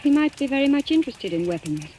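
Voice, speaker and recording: A woman's voice: sits high, very animated, quick pace. A woman playing a proud, guarded, desperate blackmail victim in an old radio mystery, speaking in an earnest, clipped way. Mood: wary. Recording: old radio recording with background hiss.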